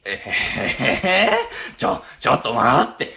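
A man playing a cowardly, dramatic middle-aged section chief in a comedic radio drama, stammering and excitable. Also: medium pitch, slow pace, full character voice. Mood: relieved, grumbling. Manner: stammering, excitable, exaggerated frightened delivery.

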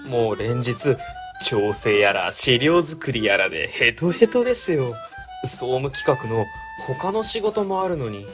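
A man's voice: mid-range voice, lively intonation, unhurried, music in the background, close to an everyday voice. A man playing an earnest, exasperated young civil servant in a radio comedy drama, speaking politely. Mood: weary, polite.

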